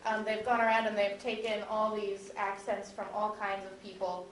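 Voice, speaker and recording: A woman's voice: mid-range voice, little pitch movement, normal speed. Woman actor, earnest and explanatory, roomy echo. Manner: earnest, explanatory, uses many fillers. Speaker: actor on a panel. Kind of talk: panel discussion. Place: convention panel room. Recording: echoey room, faint noise.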